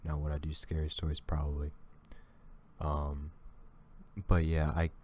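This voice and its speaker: A man with a deep voice giving casual reaction commentary on a video, rambling and restarting his thoughts. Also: completely flat delivery, measured pace, darkish tone.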